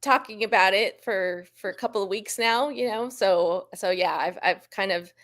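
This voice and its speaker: A woman from Southern California answering casually as a guest author on a video call, pausing often as she goes. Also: mid-range voice, fairly bright tone.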